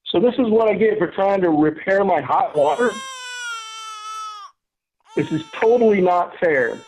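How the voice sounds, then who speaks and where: A woman's voice: fairly low pitch, animated, measured pace, darkish tone, slight background noise, poor audio. A woman playing an aggrieved, exasperated mother and tenant in an amateur radio play, protesting. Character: exasperated young mother, a put-upon tenant.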